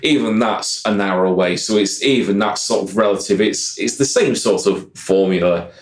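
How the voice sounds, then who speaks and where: A man's voice: lowish voice, normal speed, roomy sound. A man, a guest musician rambling in casual podcast chat and repeating "like I said". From Yorkshire, England. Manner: rambling, keeps saying "like I said".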